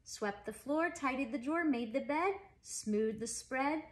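A woman in her forties reads a children's picture book aloud at home. Her voice is on the high side, with expressive, emphatic phrasing aimed at young listeners. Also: a little slow. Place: living room at home.

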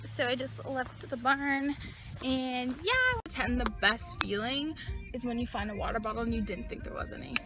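A young woman talks to her phone camera held at arm's length, gushing and chatty, with "like" in every other phrase. Her voice sits a little high. She is filming at a horse barn and in a parked car. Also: animated, moderate pace, loud music behind the voice, poor audio. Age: young adult. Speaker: vlogger. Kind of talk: casual vlog talk to camera.

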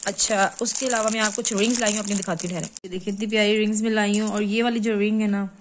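A woman's voice: medium pitch, very fast, noisy background. A young mother from Pakistan, showing her purchases on camera at home and coaxing her children as she goes. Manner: lively, coaxing, talks to her children while filming. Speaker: vlogger and mother. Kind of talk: casual vlog show-and-tell. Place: home room indoors. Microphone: handheld phone camera.

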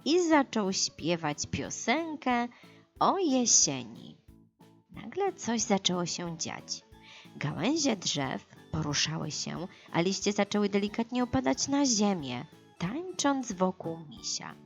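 A woman's voice: mid-range voice, creaky, muffled recording, faint music behind. A woman narrating a scripted children's audiobook, telling the story expressively and without hurry. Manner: expressive, unhurried, dramatized for children.

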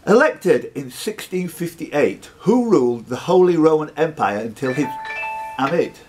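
A man's voice: a little high, sing-song, a little slow, a little mellow, soft background music. A man hosting a live quiz, reading out questions with teasing, sweary banter. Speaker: quiz host.

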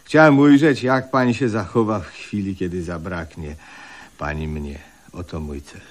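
A man's voice: deep voice, moderate pace, natural voice. An actor playing a bossy, self-pitying, melodramatic man in a Polish radio comedy, peevish and histrionic. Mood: solemn self-pity.

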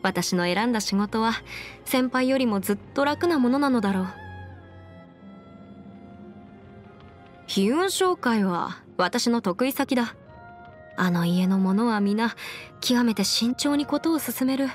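A woman's voice: fairly high pitch, animated, normal speed, natural voice. A woman voicing a confident, clever young female legal advisor in an anime game, articulate and slightly boastful, with playful pride. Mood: admiring. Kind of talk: Japanese anime game character voice lines. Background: music.

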